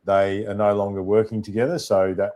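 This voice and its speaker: A man's voice: fairly low pitch, flat delivery, brisk, warm tone. An Australian man hosting a casual in-person chat, jokey and matey, rambling between topics. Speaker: podcast host.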